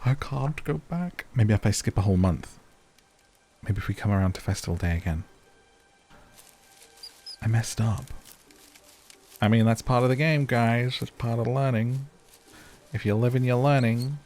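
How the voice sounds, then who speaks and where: A man's voice: medium pitch, normal speed, pauses often, a little mellow. A British man giving casual, chatty gameplay commentary, playfully talking to the characters as he goes. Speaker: gaming video host. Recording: music under the voice.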